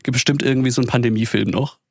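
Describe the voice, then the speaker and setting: A man's voice: mid-range voice, steady tone, racing, no echo, studio quality. A young man in his twenties co-hosting a podcast in casual chat, playful and joking, who grew up bilingual. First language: bilingual upbringing. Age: 20s. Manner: playful, jokey, sings silly bits. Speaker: podcast co-host.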